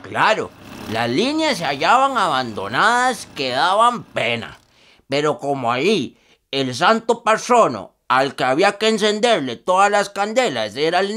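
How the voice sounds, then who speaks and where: An actor playing a folksy, wry rural storyteller with a Costa Rican accent, narrating a radio drama in a colloquial, teasing manner. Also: male voice, a little high, fairly bright tone. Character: folksy male rural storyteller, wry banana-country old hand.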